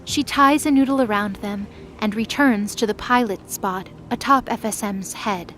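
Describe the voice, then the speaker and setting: A woman's voice: mid-range voice, moderate pace, fairly bright tone, slight background noise. A woman reading scripted dramatic story narration as the narrator of a fantasy audio drama.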